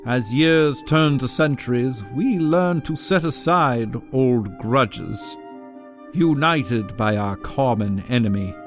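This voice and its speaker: An actor playing a grave storyteller of an exiled people, narrating a dramatic audio prologue with deliberate delivery. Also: male voice, mid-range voice, soft background music, close to an everyday voice. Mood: resolute. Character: solemn storyteller of an exiled fairy-tale people.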